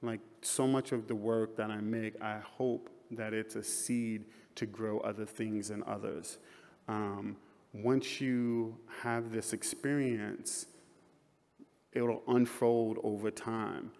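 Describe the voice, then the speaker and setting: Low, gravelly, reflective man speaker. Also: level tone, darkish tone. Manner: reflective, measured. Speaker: panelist artist. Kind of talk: conference talk. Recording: reverberant hall.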